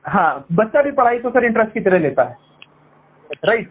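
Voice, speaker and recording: High-pitched man making a persuasive sales pitch. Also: very animated, normal speed. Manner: persuasive, explanatory, frequent "right?" tag questions; switches between Hindi and English. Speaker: education sales counselor. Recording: noisy sales call recording.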